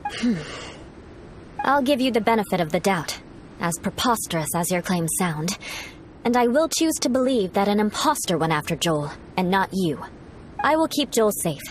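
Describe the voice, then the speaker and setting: A woman's voice: medium pitch, big pitch swings, normal speed, slight background noise, lightly acted. A woman voicing a haughty, forthright young knight in a video game, formal, imperious and sternly suspicious. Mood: grudgingly trusting. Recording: faint music behind the voice.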